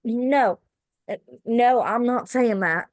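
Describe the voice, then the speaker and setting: A woman acts out an anxious young beauty queen with a high voice. She sounds flustered and guilty, ready to plead, in a dry-sounding script table read. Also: slow pace, dry recording. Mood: defensive, flustered. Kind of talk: screenplay table read acting.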